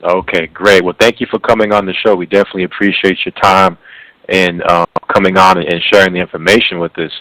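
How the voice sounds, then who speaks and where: A man hosting a conversational radio interview, speaking haltingly and often repeating words. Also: deep voice, little pitch movement, sharp tone, dry recording, poor audio. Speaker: radio show host.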